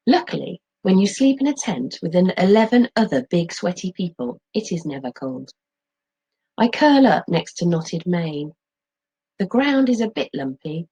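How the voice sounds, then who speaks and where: Husky middle-aged woman teaching, lively intonation. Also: lowish voice, rough recording. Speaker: teacher reading aloud. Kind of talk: explaining lesson and expressive story reading.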